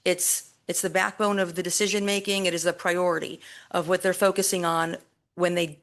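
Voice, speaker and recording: A woman giving a loud, emphatic staff presentation at a public board meeting, speaking into a podium microphone with deliberate pauses. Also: medium pitch. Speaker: city recreation staff presenter.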